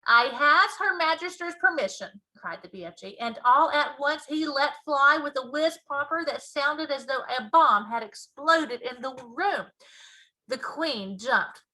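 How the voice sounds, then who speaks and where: A woman reading a children's storybook aloud as a teacher, with expressive narration. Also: high-pitched, a little slow, low-quality recording.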